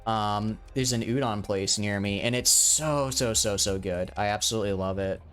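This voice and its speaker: A man's voice: on the low side, moderate pace, a bit bright, smooth, soft background music. A young man in his twenties, live-streaming a game and chatting casually, rambling with lots of restarts and fillers. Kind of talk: casual live-stream chat while gaming.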